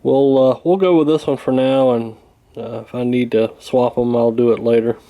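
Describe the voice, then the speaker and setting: A man giving a casual hands-on repair walkthrough in a folksy, hesitant, unhurried way. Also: mid-range voice, steady tone, dull audio. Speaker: radio repair hobbyist demonstrating.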